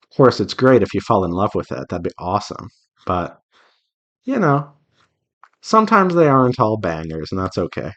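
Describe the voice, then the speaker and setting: A man's voice: lowish voice, sing-song, normal speed, long pauses, fairly bright tone, lo-fi sound. A man chatting casually to viewers as he works, thinking aloud in a rambling, self-reassuring way. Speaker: visual novel developer hosting a draw-along devlog. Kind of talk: casual solo chat while drawing.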